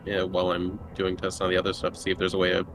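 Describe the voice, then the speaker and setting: A man with a fairly low voice takes part in a tabletop roleplaying game. He talks casually and somewhat tentatively, working out his actions as he goes. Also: completely flat delivery, rapid-fire, background noise.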